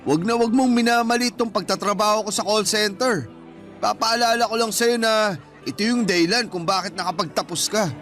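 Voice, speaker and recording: A man's voice: high voice, steady tone, normal speed, fairly bright tone. A man playing a resentful breadwinner brother in his thirties in a Filipino radio drama, with a Filipino accent and an emotional, wounded delivery. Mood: irritated. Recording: music bed under the voice.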